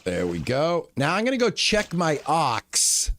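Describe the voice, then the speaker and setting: A man streaming a farming game live, chatting to his viewers in a relaxed, lulling way. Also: on the high side, measured pace, slight background noise. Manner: relaxed, chatty, talks to chat. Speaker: gaming streamer. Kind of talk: live gameplay commentary.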